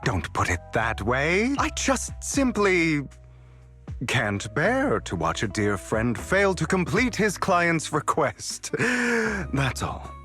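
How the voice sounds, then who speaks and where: An actor voicing a suave, sly gentleman rogue in a video game, speaking with polished, teasing courtesy and coy pauses. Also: a little high, huge pitch swings, exaggerated voice. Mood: playfully evasive. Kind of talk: video game character dialogue. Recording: music under the voice.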